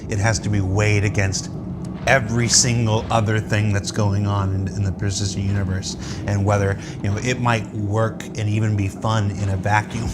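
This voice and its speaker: A man hosting a conversational interview, wordy and deliberate, often restarting his phrases. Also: fairly low pitch, flat delivery, very noisy. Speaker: interview host.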